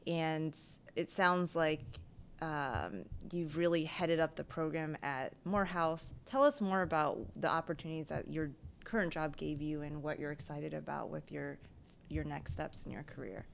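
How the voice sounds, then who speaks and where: A woman interviewer asking questions in a soft, relaxed way. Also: low voice, moderate pace, gravelly, a little hiss or hum.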